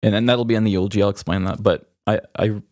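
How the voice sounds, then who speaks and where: Low-voiced grieving man, halting and reflective. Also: steady tone, darkish tone, dry recording, clean studio sound. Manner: halting, reflective, emotional, with pauses. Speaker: podcast host. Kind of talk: raw, candid personal conversation.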